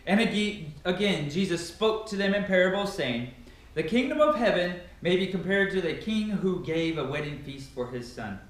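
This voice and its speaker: A man's voice: on the high side, a bit bright. Very echoey man reading scripture dramatically. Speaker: amateur Bible reader. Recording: very echoey, reverberant room.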